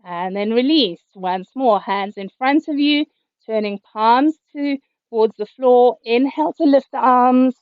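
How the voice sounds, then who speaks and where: A woman yoga teacher, a little hoarse, calmly cueing breath and movements through a class over a video call. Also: fairly high pitch, no room sound. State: slightly hoarse. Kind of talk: guided yoga instruction. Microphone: computer mic on a video call. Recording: dull, lo-fi video call audio.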